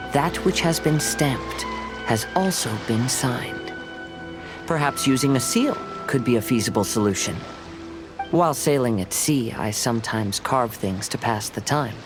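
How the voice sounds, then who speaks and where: Calm, courteous young wanderer. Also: male voice, medium pitch. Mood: thoughtful, helpful. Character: gentle young male wandering swordsman, courteous, reflective. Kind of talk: video game character acting. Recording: loud music behind the voice.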